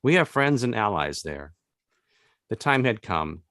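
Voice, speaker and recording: A man reading his own literary fantasy novel aloud as narration, with measured, deliberate phrasing and pauses. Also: on the low side, big pitch swings, fairly bright tone, dry recording, clean studio sound. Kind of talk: literary audiobook-style narration of a fantasy tale.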